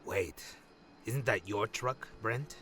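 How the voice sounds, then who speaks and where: An actor playing a calm, wary undercover man in an audio drama, measured and skeptical. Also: fairly low pitch, slight background noise.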